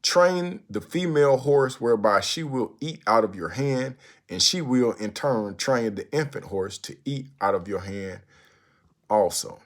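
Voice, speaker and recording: A man reciting a written speech aloud in a deliberate, oratorical manner, with emphatic phrasing and pauses. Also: medium pitch, expressive. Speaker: narrator reading a historical speech aloud.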